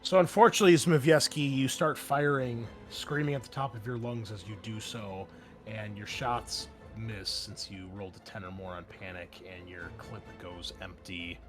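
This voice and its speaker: A man's voice: lowish voice, fairly even intonation, moderate pace. A man running a tabletop roleplaying game as game master, narrating in a casual, descriptive, wry manner. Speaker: game master and show host. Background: music behind the voice.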